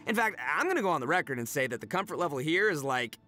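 A man's voice: on the high side, very animated, very fast, sharp tone, soft background music. A man playing a carefree, boastful young oni gang boss in an English video game dub, with cocky, slangy, theatrical delivery. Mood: emphatic, playful.

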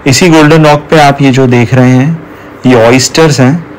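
A man's voice: mid-range voice, steady tone, normal speed, a little hiss or hum, poor audio. Man explaining tourist spots. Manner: explanatory, mixes Hindi with English words. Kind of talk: travel guide narration.

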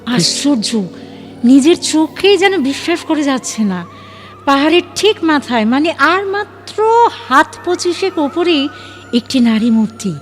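A woman's voice: high-pitched, sing-song, a little slow. A woman reading dramatic scripted narration for an audio story. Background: faint music.

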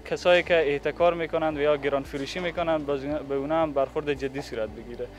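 A man's voice: fairly high pitch, fairly even intonation. A young male factory representative answers formally. His phrasing is hesitant and slightly muffled by a face mask, picked up on a reporter's handheld mic in a big hall. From Afghanistan. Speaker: factory representative being interviewed. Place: large indoor factory hall. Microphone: handheld reporter microphone held toward him.

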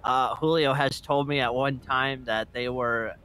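A man roleplaying a witness in a mock courtroom, giving hesitant, halting answers full of uhs. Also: medium pitch, steady tone, bright, forceful tone, smooth, low-quality recording. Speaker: roleplay player acting as a witness. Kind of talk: casual in-character testimony.

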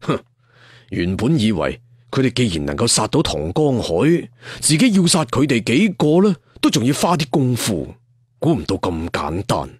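A man's voice: mid-range voice, very animated, moderate pace, breathy edge, no echo, studio quality. A man voicing an arrogant, scornful martial-arts villain lord in a story, sneering with contempt. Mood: smug musing.

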